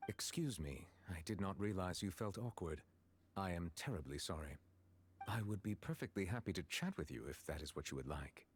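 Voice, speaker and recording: An actor playing a reserved, courteous high-ranking gentleman in a video game, speaking in a stiffly polite, measured way. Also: lively intonation, husky. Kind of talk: animated game character acting.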